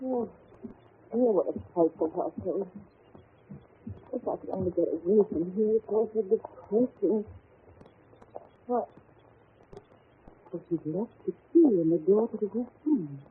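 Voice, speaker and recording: A woman's voice: mid-range voice, unhurried, pauses often, narrow, tinny audio, close to an everyday voice. An actress as an anxious young woman, old radio. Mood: uneasy, frightened. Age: young adult. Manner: breathless, timid, deferential. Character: anxious, polite young woman working in a frightening household. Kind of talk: old-time radio drama acting. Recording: thin, noisy vintage radio recording.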